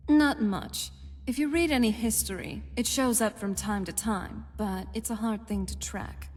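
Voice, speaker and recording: An actress voicing a young-looking female vampire companion in a fantasy game, dry, knowing and measured. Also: fairly high pitch, a little mellow. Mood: thoughtful. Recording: hall reverb, faint music behind.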